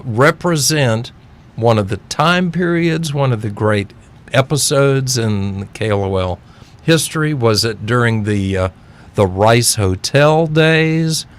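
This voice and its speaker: A man hosting an interview, feeling out his unscripted questions in halting fragments. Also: fairly low pitch. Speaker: interviewer, podcast host.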